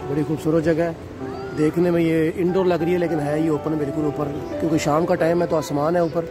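A man's voice: a little high, level tone, brisk, music in the background. A middle-aged man narrating a walking tour for his viewers, filming on a handheld phone outdoors at night. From Pakistan. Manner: addresses viewers as "nazreen", leisurely describing sights while walking. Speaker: travel vlogger. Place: city streets at night, outdoors. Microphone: handheld phone camera. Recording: outdoor traffic noise.

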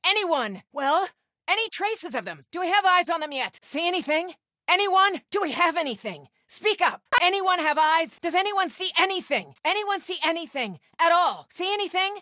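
A woman's voice: very high voice, lively intonation, normal speed, bright, forceful tone, no room sound, studio quality, full character voice. A woman voicing a relentless, menacing cult hunter in a video game, with curt, commanding calls. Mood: impatient. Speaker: enemy character in a video game. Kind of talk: video game combat barks.

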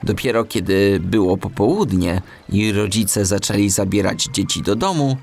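A man narrating a children's audiobook in an expressive storytelling style. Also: lowish voice, moderate pace, gravelly.

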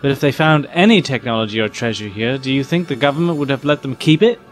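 A man's voice: mid-range voice, fast talker, faint music behind, close to an everyday voice. A man playing a sceptical, reasoning spaceship crewman in an audio drama, questioning and matter-of-fact.

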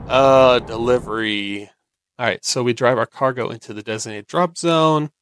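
An American man giving casual let's-play commentary, easygoing and thinking out loud. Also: fairly low pitch, slight background noise. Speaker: gaming video host.

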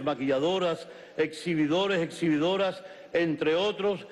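An older man with a Venezuelan accent reads a formal resolution at a podium in a large legislative chamber, in a measured, declamatory way. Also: on the high side. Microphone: podium microphones. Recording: long reverb of a large hall.

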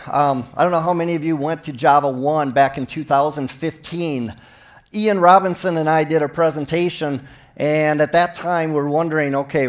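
Friendly middle-aged man giving a tech talk. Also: a little high. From Minnesota, United States. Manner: friendly, conversational, with restarts. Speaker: conference speaker. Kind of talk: technical conference talk. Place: large conference hall stage.

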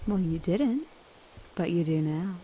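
A woman's voice: on the low side, lively intonation, measured pace, warm tone. A woman playing a seductive, commanding immortal witch in a retro radio drama, coaxing and self-assured. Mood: coy, eager. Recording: faint background noise and hiss.

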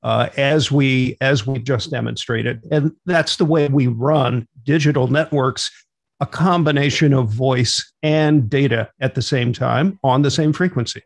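A man's voice: medium pitch, unhurried, vocal fry, no echo. A middle-aged man hosting an instructional radio net in an orderly, procedural way over a video call. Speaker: net control operator and host. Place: small home room, on a video call.

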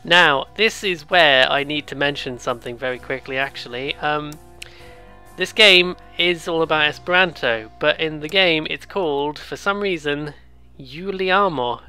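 A let's player giving casual, rambling game commentary with excitable, jokey asides and some reading of on-screen text. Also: mid-range voice, unhurried, smooth, faint music behind.

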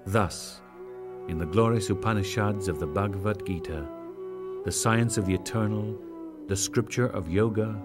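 A man narrates sacred verse as a dramatic reading, solemn and measured, with deliberate phrasing and frequent pauses. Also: fairly low pitch, loud music behind the voice.

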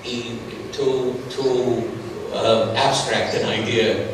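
A man's voice: medium pitch, fairly even intonation, very slow, a little hiss or hum. A middle-aged man answering questions after his conference talk, hesitant, with many fillers and restarts. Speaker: conference presenter. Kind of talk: conference Q&A discussion. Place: large hall with stage. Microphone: stage mic, recorded from far off. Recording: echoey hall from far off.

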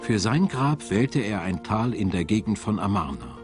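A man's voice: fairly low pitch, sing-song, normal speed. A man reading scripted narration for a documentary as its narrator. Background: music behind the voice-over.

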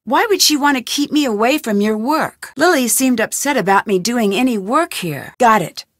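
An actress playing an inquisitive, persistent young woman detective in an adventure game, with clear, earnest, questioning delivery. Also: a little high, no room sound, clean studio sound. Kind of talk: scripted adventure game voice lines.

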